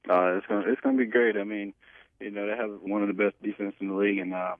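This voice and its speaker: Over a narrow, tinny telephone line, a man in his twenties talks in a deep, low voice. He is an athlete being interviewed, speaking casually with hesitant phrasing and frequent pauses. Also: level tone, no echo. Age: 20s. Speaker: pro football player being interviewed. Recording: tinny, band-limited phone line.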